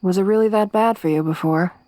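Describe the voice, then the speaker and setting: A young woman voicing a tough, tomboyish survivor companion in an ASMR roleplay, speaking softly with a wry, weary warmth. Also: medium pitch, fairly quick, dry recording, natural voice. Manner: soft-spoken, wry, quietly reflective.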